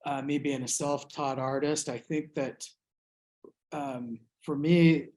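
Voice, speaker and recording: A man from the United States, an artist reflecting on his work in an interview, speaking thoughtfully with many pauses and restarts. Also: mid-range voice, a little mellow.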